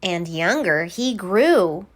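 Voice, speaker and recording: A woman reading a children's story aloud in an expressive, theatrical way, landing deliberately on key words. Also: on the low side. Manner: theatrical, deliberate, emphatic word by word. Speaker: storybook reader.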